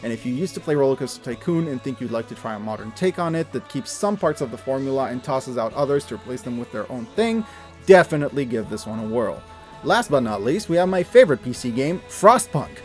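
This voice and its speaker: A man's voice: medium pitch, lively intonation, a little fast. A man narrating a scripted review as an enthusiastic host, with an effusive, emphatic, informal manner. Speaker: video reviewer. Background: music.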